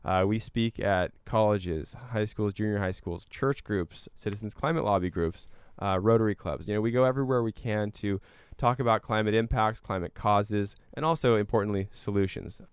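Male interview guest, lowish smooth voice, earnest explainer, conversational, dry recording. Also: normal speed, no room sound. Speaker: interview guest, climate activist and scientist.

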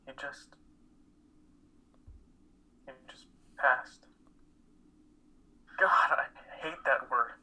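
A man playing a withdrawn, troubled college student in a play reading, halting, terse and guarded. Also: medium pitch, bright, forceful tone, exaggerated voice, rough recording. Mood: stunned grief. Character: withdrawn male college student, grieving his estranged father. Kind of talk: dramatic reading of a stage play.

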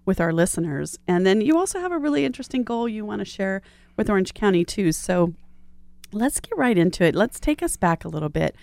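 A woman's voice: mid-range voice, very animated, fairly quick, gravelly, a little mellow, no echo. A woman hosting a casual interview, asking questions in an encouraging, chatty way. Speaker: interviewer, host.

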